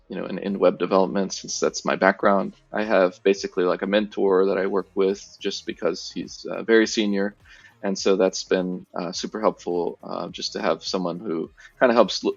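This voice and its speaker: A man's voice: deep voice, vocal fry, no room sound, highs cut off. An American man in his thirties giving an easygoing testimonial interview, hesitant and full of fillers. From United States. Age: 30s. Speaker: former bootcamp student.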